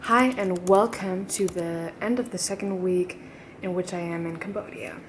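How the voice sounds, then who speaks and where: A jet-lagged young woman from Germany chats to her phone camera in a fairly low voice, wandering through her thoughts with fillers and restarts. A faint hiss of background noise sits under her words. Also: fairly even intonation, normal speed. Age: young adult. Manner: chatty, rambling with fillers. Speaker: vlogger. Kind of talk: casual video diary.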